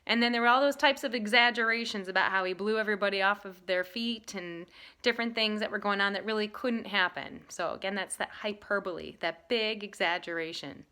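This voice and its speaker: A woman reading aloud to children in an expressive style, leaning dramatically on key words. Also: medium pitch, fairly quick, clear, resonant tone. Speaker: storybook reader.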